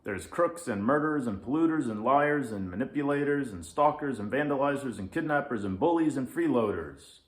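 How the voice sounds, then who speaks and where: A man's voice: mid-range voice, animated, fairly quick, few pauses, pitched above the performer's own voice, lightly acted. A man voicing a grumpy, harried male lynx in a children's story, with an emphatic, scolding, impatient manner. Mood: emphatic, lecturing. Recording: roomy echo.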